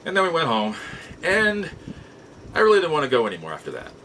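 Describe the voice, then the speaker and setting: A man's voice: on the high side, wildly swooping pitch, fast talker, pushed and bright, slight background noise, poor audio. A middle-aged man casually telling personal stories as a show host, rambling in a folksy way and often rephrasing himself. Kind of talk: casual personal storytelling to camera.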